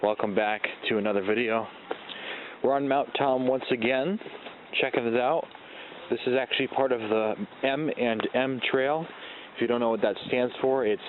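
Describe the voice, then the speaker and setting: A man hosts a casual hiking vlog, talking in a chatty, upbeat way as he leads the way. The sound is thin and phone-like, taken on a handheld camera on a wooded trail. Clear outdoor noise runs underneath. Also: mid-range voice. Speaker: vlog host.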